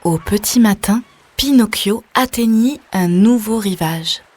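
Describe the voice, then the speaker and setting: A woman's voice: mid-range voice, a little slow, a little mellow. A woman reading a scripted children's story as a storyteller, putting questions to the young listener. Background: music.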